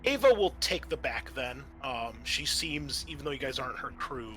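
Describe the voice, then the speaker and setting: A man working as game master of a tabletop roleplaying game, narrating in a conversational, halting way. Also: medium pitch, very animated, a bit bright, slight background noise, rough recording.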